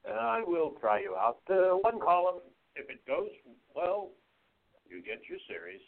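A man's voice: high-pitched, very animated, measured pace, gravelly, exaggerated voice. A man playing a brusque newspaper editor in an amateur radio play. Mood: businesslike. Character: gruff middle-aged male newspaper editor. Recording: tinny phone-like recording.

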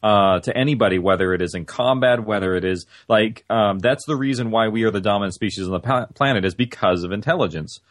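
Man, lower pitch, dry close sound, casual explanatory interview guest, halting restarts. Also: no room sound. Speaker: interview guest, audio-drama writer and producer. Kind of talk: casual conversational interview answers.

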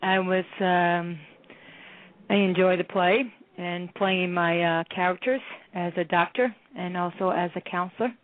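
A woman who hosts a radio show, speaking about acting in a play, halting with ums. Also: fairly low pitch, steady tone. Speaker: radio show host and actress. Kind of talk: casual radio discussion. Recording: phone-line radio call.

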